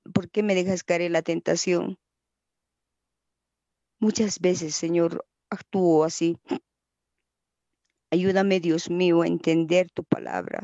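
Slow, earnest woman praying aloud. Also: lowish voice, dry recording, highs cut off. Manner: earnest, pleading, often trails off softly at phrase ends. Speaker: person praying. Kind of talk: spontaneous devotional prayer.

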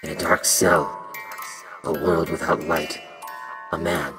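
Woman acting brooding horror narrator, extremely low voice, slow, solemn, audio drama. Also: flat delivery. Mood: ominous, solemn. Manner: solemn, deliberate. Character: ominous, brooding narrator of a dark tale. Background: music.

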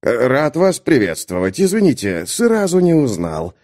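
A man's voice: medium pitch, wildly swooping pitch, unhurried, a little mellow. A man voicing a proud, irritable mage with a dry, formal manner in an audiobook. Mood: stiffly polite. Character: proud, irritable male mage, stiffly polite.

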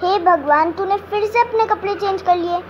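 A scolding mother, played by a young girl, nags and exclaims in exasperation. The voice is very high, in a homemade skit with slight noise. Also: normal speed, lo-fi sound. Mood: exasperated. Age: child, under twelve. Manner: nagging, exclaiming.

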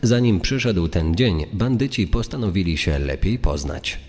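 A deep-voiced man narrates a true-crime tale in a scripted dramatic reading. His words ring with long reverb over a music bed. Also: expressive, moderate pace, darkish tone.

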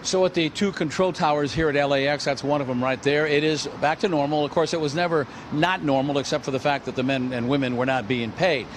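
A man's voice: on the high side, fairly even intonation, quick pace, hardly any pauses, slight background noise. An older man with an American accent reporting as a television news correspondent on a handheld microphone. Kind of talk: live news report and scripted narration. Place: airport terminal and curbside.

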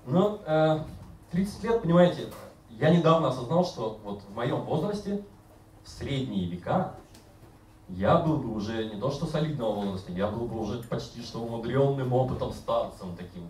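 A man in his thirties doing a self-mocking, deadpan stand-up routine on a bar stage. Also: medium pitch, moderate pace, distant mic, slight background noise. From Siberia. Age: 30s. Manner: deadpan, self-mocking, acts out other voices. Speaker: stand-up comedian. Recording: roomy live bar recording from afar.

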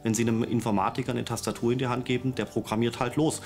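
A man's voice: mid-range voice, little pitch movement, quick pace, a little mellow, soft background music. A man in his forties explaining things as an expert interviewee.

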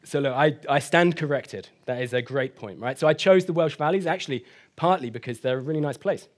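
A man in his thirties answering questions after a keynote at a conference, hedging often and speaking quickly. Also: fairly high pitch. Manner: hedging, sort of, you know. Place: conference hall stage.

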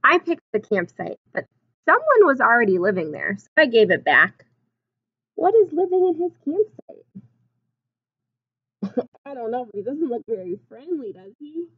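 Young lady teacher doing a storytime read aloud for little kids, expressive, kinda muffled lo-fi webcam sound. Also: a little high, normal speed, long pauses, slightly rough. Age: 20s. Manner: expressive, simple explanations addressed to children. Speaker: teacher reading aloud to children. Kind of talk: picture-book read-aloud with asides and questions to young children. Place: small classroom, indoors.

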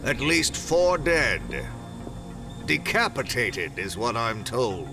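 A man's voice: mid-range voice, big pitch swings, slow, vocal fry, over music, full character voice. An actor playing a grave, matter-of-fact man in an audio drama.

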